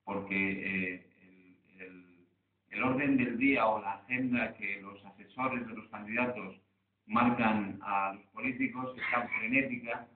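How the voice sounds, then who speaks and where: Man lecturing in an echoey hall. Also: medium pitch. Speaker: journalist speaking on a panel. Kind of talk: round-table conference talk. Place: conference hall. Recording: echoey hall, far from mic, phone-like lo-fi sound.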